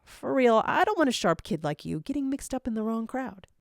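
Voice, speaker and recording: A woman's voice: fairly high pitch, very animated, fairly quick, creaky, no room sound, clean studio sound, pitched above the performer's own voice. A woman voicing a friendly, casual male hedgehog hero with a chummy, persuasive manner. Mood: coaxing.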